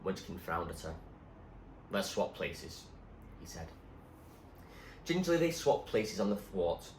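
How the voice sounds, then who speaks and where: A man narrates a storybook with suspense and drama. His fairly low voice rises and falls widely. The recording is echoey with faint noise behind it. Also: moderate pace. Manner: expressive, suspenseful. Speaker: reader, teacher.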